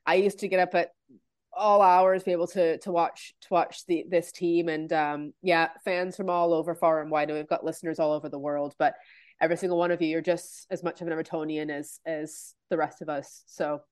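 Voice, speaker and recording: Casting: woman, Canadian accent, low voice, dry close sound, chatty and informal fan co-host. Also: flat delivery, fairly quick, darkish tone, clean tone, no echo. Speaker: football podcast co-host. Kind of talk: casual fan chat.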